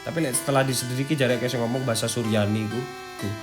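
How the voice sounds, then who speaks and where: A man's voice: mid-range voice, fairly even intonation, very fast. A man with an East Javanese accent hosts a casual, slangy video, chatting and partly reading out an article, peppering his talk with interjections. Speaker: video host. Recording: background music under the talk.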